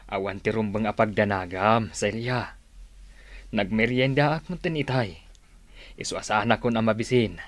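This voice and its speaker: A man's voice: lowish voice, moderate pace, no echo, close to an everyday voice. A man in an Ilocano radio drama playing an easygoing, reassuring household companion.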